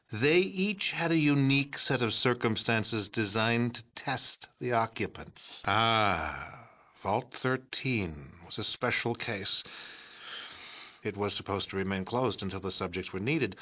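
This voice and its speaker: Pompous American President, bright forceful voice. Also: male voice, medium pitch. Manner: pompous, blustering politician's delivery, theatrical. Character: pompous, deluded older male President of post-war United States. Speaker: voice actor in a video game. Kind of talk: acted video game character dialogue.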